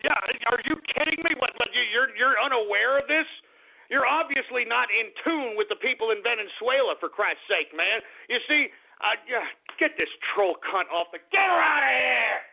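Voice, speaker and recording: A man's voice: very high-pitched, lively intonation, normal speed, gravelly. A man hosting a call-in radio talk show, ranting and insulting callers in an abrasive way. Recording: phone-like narrow band.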